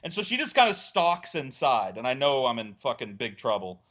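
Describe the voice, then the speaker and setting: A man's voice: mid-range voice, moderate pace. A man telling a crude, rambling comic story on a casual podcast, acting out what was said. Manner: rambling, crude, animated storytelling with acted-out quotes. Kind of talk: casual comedic anecdote.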